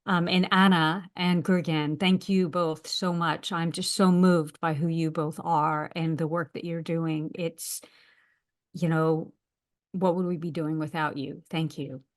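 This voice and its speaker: An American woman with a somewhat low voice speaks in a webinar over a video call. She talks hesitantly, pausing often on filler words. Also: fairly even intonation. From United States. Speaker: university lecturer. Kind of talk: webinar talk.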